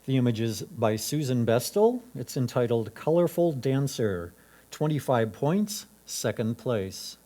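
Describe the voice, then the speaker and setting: A man's voice: mid-range voice, big pitch swings, unhurried, mellow. A man announcing titles, names and scores at a club competition.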